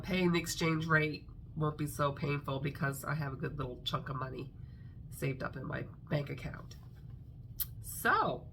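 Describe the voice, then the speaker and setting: A middle-aged woman talks casually to her viewers, rambling with plenty of fillers. Her voice sits a little low but sounds bright and forward, and it has a stuffy, nasal quality from congestion. A faint hum sits behind her. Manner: chatty, rambling with many fillers. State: congested, nasal from a sinus infection. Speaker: craft vlogger. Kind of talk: casual chat to camera.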